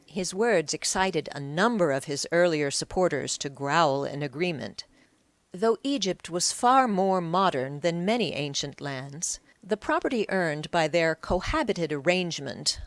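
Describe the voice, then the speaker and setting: A woman's voice: fairly low pitch, dry recording. A woman narrating an audiobook in a dramatic, scripted storytelling style.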